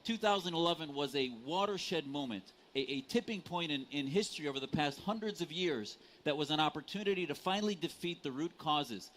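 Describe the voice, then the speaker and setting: A man's voice: on the high side, expressive, brisk, few pauses, fairly bright tone, a little hiss or hum. A man from the United States in a live panel debate, speaking earnestly and insistently with formal vocabulary. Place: conference stage.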